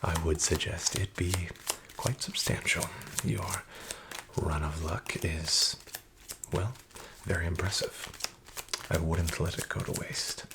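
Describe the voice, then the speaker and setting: A man playing a smooth-talking, falsely friendly casino dealer, soft-spoken and half-whispered. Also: sits low, fairly even intonation, slow, heavily acted. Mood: sly coaxing. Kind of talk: soft-spoken ASMR roleplay. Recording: audible background noise with card shuffling.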